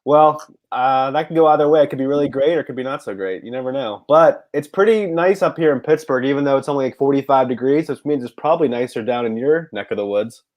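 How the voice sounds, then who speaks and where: A man co-hosts a live chat show with a cold, his voice sitting deeper than normal. He talks a lot and often trails off and starts a sentence again. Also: fast, hardly any pauses, a little mellow. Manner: talkative, often trails off and restarts. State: under the weather, voice deep. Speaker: co-host.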